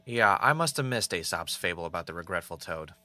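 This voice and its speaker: A man acting as an anxious, self-doubting podcast host in an audio drama, talking in a halting, confessional way. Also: low voice, expressive, sharp tone, dry recording, faint music behind, full character voice. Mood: dry, puzzled. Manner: hesitant, self-questioning, halting.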